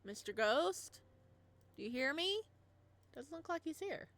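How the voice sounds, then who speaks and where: A woman voicing an eccentric single-dad inventor in a visual novel. He speaks earnestly and stumbles over his words when flustered, and the voice stays fairly high and lively. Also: unhurried, full character voice. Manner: stammering, earnest.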